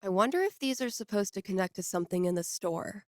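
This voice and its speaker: A woman's voice: mid-range voice, expressive, fast, no echo, lightly acted. A woman voicing a wry, laid-back young DJ in a video game, talking casually to herself with dry sarcasm. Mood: dry, amused. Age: young adult. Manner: dry, sardonic, conversational.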